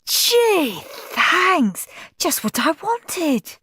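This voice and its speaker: A woman's voice: sits high, slow pace, no room sound, studio quality, voice pushed up, exaggerated voice. A woman voicing a mischievous, greedy young boy in a storybook reading. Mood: gleeful shouting. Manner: excited, scheming.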